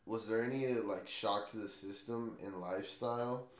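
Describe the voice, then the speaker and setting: A man's voice: fairly low pitch, moderate pace, roomy sound. A man from the United States hosting a casual podcast, laid-back and agreeable, swearing casually. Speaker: podcast host.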